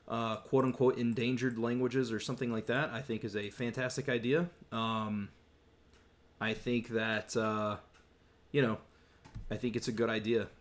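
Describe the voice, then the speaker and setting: A young man hosting a casual live Q&A, rambling with many restarts as he reads and answers chat questions. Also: mid-range voice. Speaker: YouTube host answering viewer questions. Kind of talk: casual livestream Q&A.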